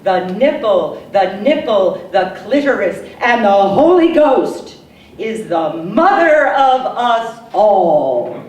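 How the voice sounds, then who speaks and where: A woman poet reading her own work as a dramatic recitation, solemn and deliberate, with emphatic phrasing and pauses. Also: medium pitch, very animated, a little mellow. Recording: echoey, distant mic, noisy room.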